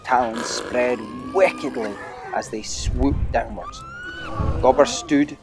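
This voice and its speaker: A man's voice: mid-range voice, huge pitch swings, slow, soft background music. A man narrating an adventure audiobook as a dramatic, theatrical storyteller with emphatic delivery.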